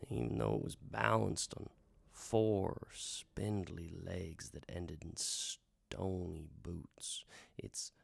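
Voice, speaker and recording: A man telling a fantasy tale as a folksy bard, in a florid, theatrical manner with hushed, dramatic emphasis. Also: low-pitched, vocal fry, no room sound. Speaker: host, storytelling bard. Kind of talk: theatrical first-person fantasy storytelling, radio drama.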